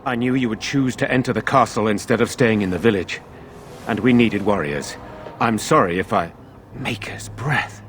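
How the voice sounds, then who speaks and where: Casting: man as troubled fantasy lord, lowish voice, formal and measured, game drama. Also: a bit bright, slight background noise, natural voice. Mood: astonished. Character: grave, troubled nobleman of a fantasy village. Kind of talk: dramatic video game acting.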